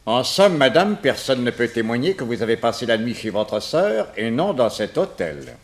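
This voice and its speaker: A self-assured police inspector in a radio mystery. His medium-pitched voice is confident and condescending. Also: big pitch swings, moderate pace. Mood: confident, challenging. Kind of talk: French detective radio drama acting.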